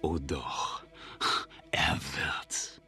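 A male actor playing a scheming, scornful outlaw who poses as a general in a western radio play, smooth-talking but quick to turn contemptuous. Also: a bass voice, pushed and bright, in a whisper, full character voice. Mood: menacing. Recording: studio radio play with music behind.

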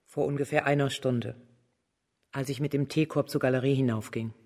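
A woman's voice: very deep voice, steady tone, normal speed, darkish tone. An actress playing a blunt, bitter lady's maid in a German radio drama, plain-spoken in her delivery. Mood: guarded, matter-of-fact. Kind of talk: German radio play acting.

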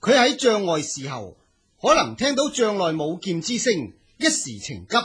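A deferential, clever court strategist in a Chinese historical radio drama. The voice is husky and highly animated, with careful, courtly phrasing. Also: male voice, moderate pace, exaggerated voice. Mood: deferential, smoothing over. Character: shrewd, deferential male strategist at a warlord's banquet.

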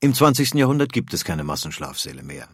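A man's voice: low-pitched, very animated, quick pace, slightly rough, no room sound, clean studio sound. A man reading scripted narration for an audio history documentary.

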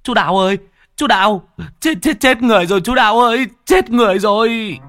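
A man voicing a panicking teenage village boy who screams for help in a shrill, frantic voice. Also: a little fast, a bit bright, faint music behind.

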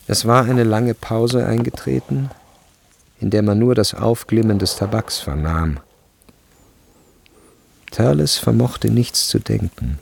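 A man narrating a literary radio drama in German as a sober storyteller, in a measured, grave manner. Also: on the low side, vocal fry, slightly rough, full character voice. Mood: grave, tense. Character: sober literary narrator of a tense boarding-school novel.

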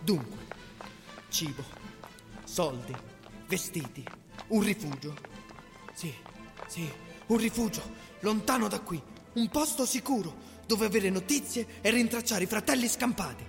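A man's voice: sits high, lively intonation, measured pace, creaky, pauses often, full character voice. A man acting a desperate, haunted fugitive rebel in a fragmented, brooding radio drama monologue. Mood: resolute. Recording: music under the voice.

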